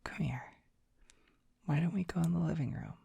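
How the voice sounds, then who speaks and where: A performer voicing a gentle, shy young dragon boy in an ASMR comfort roleplay, speaking in a soft breathy whisper with a hesitant, tender, soothing manner. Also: medium pitch, normal speed, no echo, lo-fi sound, heavily acted. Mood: tender concern.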